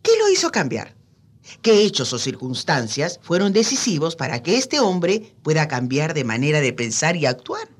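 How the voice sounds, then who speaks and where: A woman's voice: sits low, wildly swooping pitch, normal speed, slightly rough. A woman co-hosting a radio programme, expressively addressing listeners in a scripted conversational style. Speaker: radio co-host.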